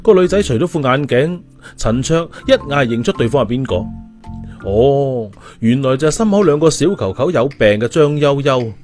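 A man narrates an audiobook in an expressive, storytelling style. His intonation is lively and his pitch sits in the middle, over faint music. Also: moderate pace.

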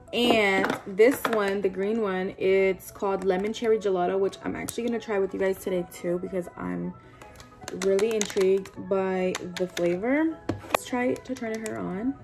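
A woman in her twenties talking candidly into the camera in her bedroom while doing her makeup, cursing casually and filling every pause with "like". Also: mid-range voice, steady tone, music in the background. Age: 20s. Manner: chatty, candid, swears often, lots of "like". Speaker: vlogger. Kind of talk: casual get-ready-with-me chat. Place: bedroom, indoors. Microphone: camera-facing, doing makeup at a desk.

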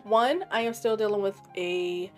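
Woman gamer, raspy, scratchy sore throat, chatty commentary, faint music behind. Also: medium pitch, unhurried. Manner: chatty, thinking aloud, trailing off. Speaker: game streamer. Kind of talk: casual gameplay commentary.

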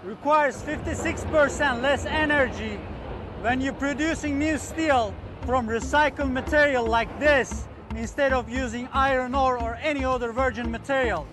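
A man's voice: very high voice, normal speed. A man from Turkey with a Turkish accent, speaking earnestly in slightly broken English as a recycling plant manager in a documentary interview outdoors at a port. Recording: music bed underneath.

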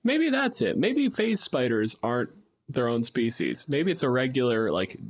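A man's voice: mid-range voice, very animated, moderate pace. A man hosting a podcast, talking casually and bluntly in informal gamer chat. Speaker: podcast host. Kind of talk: casual discussion of tabletop gaming.

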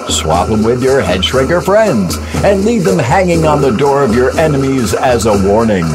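An actor playing an excitable pitchman in a 1950s-style radio toy commercial, with a hammy, salesy delivery. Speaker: commercial announcer. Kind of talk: retro toy commercial parody. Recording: music behind voice.

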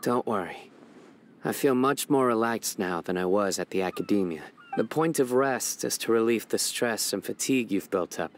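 An actor playing a calm, serious young warrior judge in a video game, speaking in a measured, earnest, reserved way over music. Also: male voice, on the low side, slight background noise, lightly acted. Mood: calm, reflective. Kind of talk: scripted video game character dialogue. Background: background game music.

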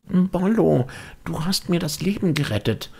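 A man voicing a small, anxious, excitable wooden puppet boy in a children's storybook reading. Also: a little high, measured pace, creaky, breathy edge, voice pushed up, full character voice. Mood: grateful. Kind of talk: children's audiobook character voice.

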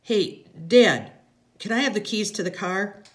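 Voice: mid-range voice, lively intonation, unhurried, pitched above the performer's own voice, close to an everyday voice. A narrator voicing a polite, eager teenage son who is earnest and slightly hesitant in an audiobook.

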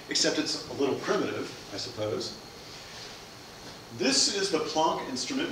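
Lively man lecturing in an echoey room. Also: medium pitch, far from the mic. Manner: lively, explanatory, asks questions then answers them. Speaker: physics lecturer. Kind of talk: science cafe lecture. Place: seminar room with projector screen. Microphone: far camera mic. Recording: echoey room recorded from afar, noisy.

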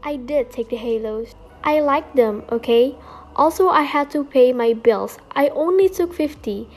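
Sassy high-pitched little pixie thief, played by a woman. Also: unhurried, a little mellow. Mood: defensive. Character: small, greedy, flippant female pixie thief. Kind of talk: amateur fan film voice acting.

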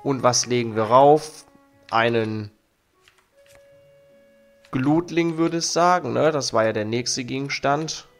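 A man's voice: medium pitch, slow pace, long pauses. A young man with a cold, hosting a let's play and commenting casually on his game while reading its text aloud. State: has a cold. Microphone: studio mic on arm. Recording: faint game music behind.

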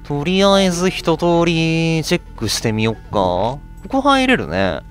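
Man casually commentating a game, lively pitch. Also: unhurried, faint music behind. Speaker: let's play host. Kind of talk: casual game playthrough commentary, reading on-screen text aloud.

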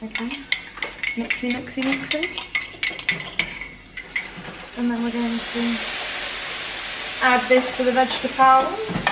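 A woman's voice: a little high, measured pace, heavy background noise. A British woman in her twenties chatting casually and playfully as she vlogs her cooking, with bantering slang. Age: 20s. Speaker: vlogger. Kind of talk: casual cooking vlog chat. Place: home kitchen, indoors. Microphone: camera on the counter. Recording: echoey kitchen with clattering dishes.

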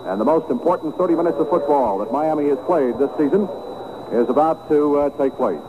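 High-voiced American football announcer on tinny radio. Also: male voice, fairly quick. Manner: excitable, vivid descriptive phrasing. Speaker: play-by-play sports announcer. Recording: tinny, very noisy 1970s radio broadcast.

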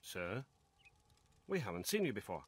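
A man's voice: lowish voice, big pitch swings, moderate pace, slightly rough. A man voicing a cautious, respectful resistance fighter in a video game. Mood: wary. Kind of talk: scripted video game cutscene acting.

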